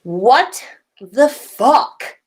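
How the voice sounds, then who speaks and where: A woman acts out a sarcastic teenage wizard boy in a fan fiction read-aloud. He is loud and indignant, pitched up and swinging widely as he complains. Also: big pitch swings, very slow, breathy edge, exaggerated voice.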